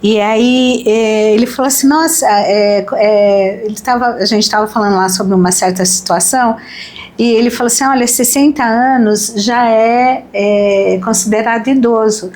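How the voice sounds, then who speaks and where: Fifties woman hosting a faith podcast; higher pitch, talks things through aloud. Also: normal speed. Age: 50s. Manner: animated, reflective, many "né?" tags. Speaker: host.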